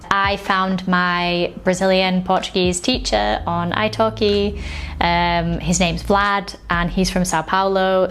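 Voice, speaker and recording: A woman's voice: lowish voice, slight background noise. A young woman with a light Yorkshire accent, talking casually as an English teacher in an explainer video, with frequent "like" and "um" fillers.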